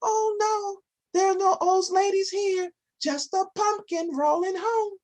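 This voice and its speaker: Man doing a sly old lady, high sing-song voice, storybook reading. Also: steady tone, unhurried. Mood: sweetly fibbing. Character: sly little old woman hiding in a pumpkin.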